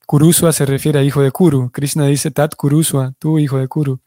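A man giving a religious class, explaining things in a measured way and often restarting his sentences as he searches for words. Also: fairly high pitch, little pitch movement, mellow, no echo, studio quality. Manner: explanatory, restarts and self-corrections. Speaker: devotional teacher.

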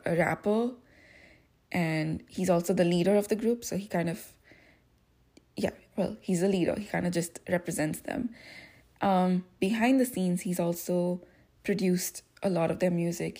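A woman's voice: lowish voice, warm tone. A woman hosting her own podcast, talking alone in a casual, reflective way that rambles with many fillers. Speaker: podcast host. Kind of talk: casual solo podcast talk.

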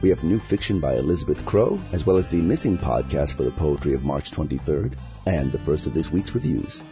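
A man hosting a poetry podcast, reading scripted introductions and sign-offs in a lively, announcing way. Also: rumbling low, brisk, muffled recording, faint music behind. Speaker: podcast host.

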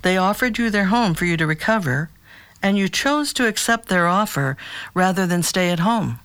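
Calm, probing therapist, played by an actor. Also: medium pitch, clean tone, no room sound, lightly acted. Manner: measured, gently questioning. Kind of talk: audio drama acting.

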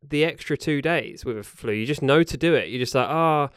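A man's voice: mid-range voice, brisk, fairly bright tone, smooth, dry recording, clean studio sound. A man with a New Zealand accent, co-hosting a casual home podcast, rambling and correcting himself as he goes. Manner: rambling, self-correcting, laughs often. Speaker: podcast co-host. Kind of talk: casual chat. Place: home recording setup. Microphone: podcast mic.

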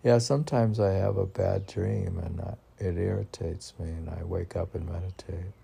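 A man's voice: sits low, fairly even intonation, moderate pace, creaky, dark tone, slight background noise. A man informally reading poems and commenting on them in a rambling, conversational way, posing questions and answering them himself.

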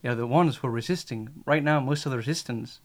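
A man's voice: mid-range voice, quick pace. A man speaking on a discussion panel in an earnest, insistent way, repeating his phrases for emphasis. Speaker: panel guest.

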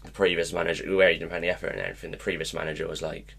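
A man's voice: low voice, flat delivery, racing, gravelly, a bit bright. A man co-hosting a casual podcast, rambling through football stories with lots of filler words. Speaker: podcast co-host.

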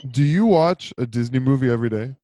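Man, co-host, joking, crude, mid pitch, dry close sound. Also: expressive, quick pace, dark tone, no room sound. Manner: joking, crude, mock-macho bravado. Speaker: podcast co-host. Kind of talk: casual chat over video call.

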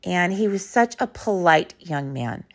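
A woman speaks as the host of a personal podcast, her voice a little low and slightly hoarse. She talks informally and conversationally, leaning on key words for emphasis as she tells stories and puts questions to the listener. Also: animated, a little slow.

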